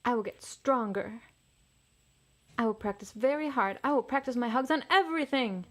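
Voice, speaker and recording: A woman's voice: a little high, normal speed, lightly acted. A woman voicing a tiny young male T. rex, earnest and determined, in a storybook read-aloud.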